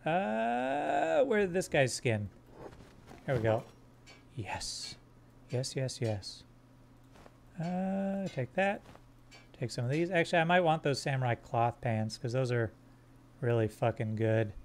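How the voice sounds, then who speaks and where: A man streaming a game live, joking crudely with his chat in a fairly monotone voice while sorting his squad's gear. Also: medium pitch, slow, gravelly, pauses often, slight background noise. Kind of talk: live gameplay commentary.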